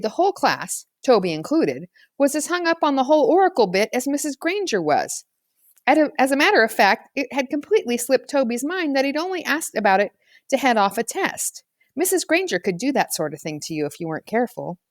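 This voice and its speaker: A woman's voice: mid-range voice, no room sound, clean studio sound. A woman reading a children's novel aloud as scripted narration, like an audiobook reader.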